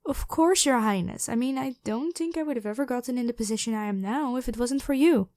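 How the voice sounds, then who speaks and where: A woman's voice: on the high side, a little fast, darkish tone, dry recording, natural voice. A woman voicing a devoted, protective royal knight, head of the guard, with formal, deferential speech in a scripted ASMR roleplay. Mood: grateful, humble. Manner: formal, deferential address to a monarch.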